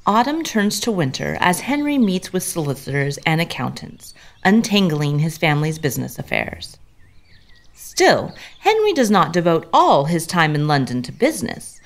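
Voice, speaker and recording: A woman's voice: sits low, big pitch swings, normal speed. A woman narrating a Victorian mystery drama in a theatrical, suspenseful scripted style.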